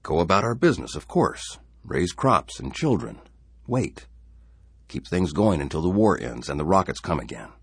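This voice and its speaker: Male reader doing anxious settler dad, stern and insistent, husky, dry sound. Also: low-pitched, very animated, moderate pace, no echo, voice pushed up, heavily acted. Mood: steady. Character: anxious male settler father, forced cheer.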